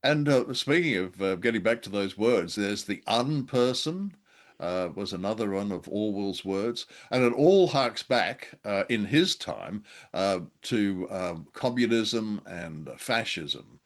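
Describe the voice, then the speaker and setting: A man with a fairly low voice talks casually as a show co-host. He feels his way through his sentences in a hesitant manner, with a dry, self-deprecating wit. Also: expressive, measured pace. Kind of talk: casual discussion of an old radio drama.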